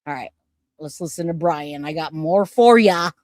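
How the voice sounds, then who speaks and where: A woman in her forties hosting a livestream, chatting casually with viewers in an animated, joking, slangy way. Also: deep voice, normal speed, a little hiss or hum. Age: 40s. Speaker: livestream host reacting to news.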